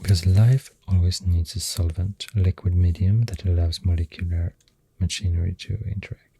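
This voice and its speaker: Soft swedish accent asmr person, super mellow low voice, breathy half whisper, slow rambling, pencil scratching behind. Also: fairly even intonation, slight background noise. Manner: hesitant, unhurried musing. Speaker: ASMR host. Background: pencil drawing and clicking sounds.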